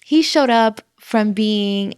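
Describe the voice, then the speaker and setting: A woman's voice: medium pitch, takes it slowly, clear, resonant tone, no echo, clean studio sound. A woman from the Dominican Republic, a podcast guest chatting casually about her life and how she met her husband. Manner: relaxed, chatty, with fillers and laughs. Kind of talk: casual conversation.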